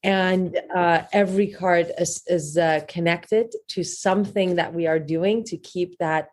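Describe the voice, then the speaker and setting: A woman's voice: fairly low pitch, fairly even intonation, unhurried, a little mellow. A woman guest on a podcast, talking casually about her work with frequent fillers and restarts. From Iran. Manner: hesitant, many fillers and restarts. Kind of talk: casual interview talk.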